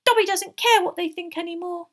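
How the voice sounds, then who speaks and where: A woman voicing a small, nervous, devoted male house elf for a storybook, squeaky, deferential and excitable, with broken grammar. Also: fairly quick.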